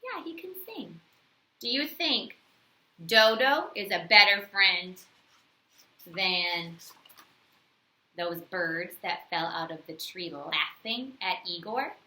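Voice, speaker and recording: A woman reading a bedtime story aloud to young children, expressively, with teacherly questions and pauses. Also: on the low side, animated, pushed and bright, roomy sound, rough recording.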